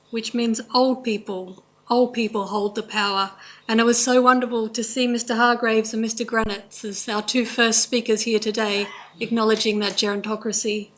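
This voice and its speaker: A woman with an Australian accent giving a formal, measured presentation in a courtroom, speaking in short listed phrases. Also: on the high side, low-quality recording. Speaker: language presenter at a court inquest.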